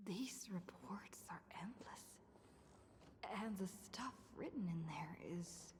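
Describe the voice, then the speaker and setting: Slow, hushed, weary young woman. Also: on the low side, animated, slight background noise. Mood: weary, wistful. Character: weary, doubtful young woman office worker. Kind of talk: video game voice acting.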